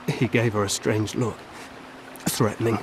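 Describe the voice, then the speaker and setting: An actor playing a mortally wounded elf seer in a video game, haunted and mournful, speaking weakly and haltingly. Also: male voice, on the low side, little pitch movement, background noise. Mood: weary recounting. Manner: slow, halting, labored. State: weak from fatal wounds, as acted.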